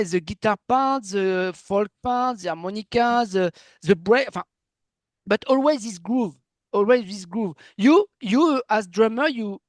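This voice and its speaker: A man whose first language is French, interviewing in halting, enthusiastic English as he searches for words. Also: high-pitched, dry recording, studio quality. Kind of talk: casual interview chat.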